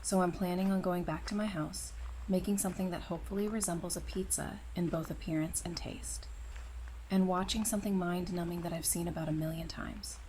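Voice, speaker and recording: A woman's voice: fairly low pitch, fairly even intonation, brisk, a little mellow, close to an everyday voice, rough recording. A woman playing a wry, friendly, easygoing survivor in casual audio drama dialogue. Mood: casual, inviting. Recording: noticeable background ambience and noise.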